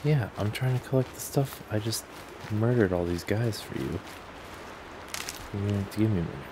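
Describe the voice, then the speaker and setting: A man's voice: lowish voice, moderate pace, background noise. A man giving casual let's play commentary, jokingly talking back to the game. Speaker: gaming host. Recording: loud game music under commentary. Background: game music and sounds.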